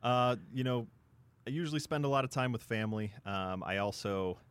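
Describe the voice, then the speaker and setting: A man's voice: medium pitch, brisk, clean tone. A man with an American accent co-hosting sports radio, talking casually and hesitantly with lots of "you know" asides. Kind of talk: casual chat.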